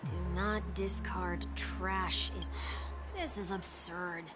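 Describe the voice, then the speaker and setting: An actress playing a snappy, sceptical companion in a video game, blunt and casual with a sardonic edge. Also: female voice, on the low side, steady tone, slow pace, loud music behind the voice. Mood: exasperated.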